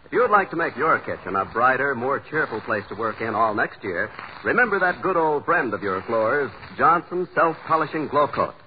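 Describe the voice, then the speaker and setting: Lively American ad man on muffled old radio. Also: mid-range voice, normal speed, a little hiss or hum. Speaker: commercial announcer. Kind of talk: scripted 1940s radio sponsor pitch.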